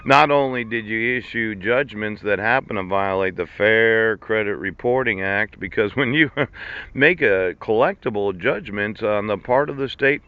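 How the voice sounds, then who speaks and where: A man's voice: lowish voice, smooth. A man in his fifties ranting in a sarcastic monologue, laughing between lines and swearing. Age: 50s. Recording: dull lo-fi recording.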